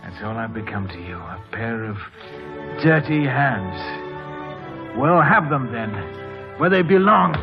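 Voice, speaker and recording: An actor playing a brooding, passionate young stable hand in mid-century radio drama, speaking with bitter, theatrical intensity. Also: male voice, mid-range voice, big pitch swings, slow, long pauses. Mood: bitter, wounded. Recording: dull old radio recording with music.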